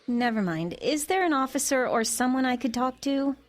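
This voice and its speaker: A woman's voice: high-pitched, animated, moderate pace. An actress playing a flustered, earnest, suspicious middle-aged mother turned amateur sleuth in an audio drama, hesitant in her natural dialogue.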